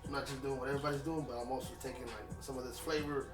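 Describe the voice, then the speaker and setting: Fast-talking rapper, loose and emphatic. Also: male voice, medium pitch, fairly even intonation. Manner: fast, loose and emphatic, peppered with slang and swearing. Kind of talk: casual interview chat. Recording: echoey room with music behind, mic at a distance.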